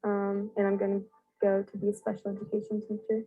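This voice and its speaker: A young woman from Ohio, about to start college, speaking softly with many fillers in a testimonial. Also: medium pitch, monotone, brisk, slightly rough, phone-quality sound. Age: young adult. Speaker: camp counselor. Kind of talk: testimonial interview.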